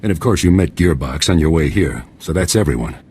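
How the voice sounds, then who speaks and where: A man's voice: rumbling low, animated, a little fast, a little mellow, a little hiss or hum. A man playing a confident, welcoming special forces commander in a video game, speaking with assured, hearty formality. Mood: welcoming, proud.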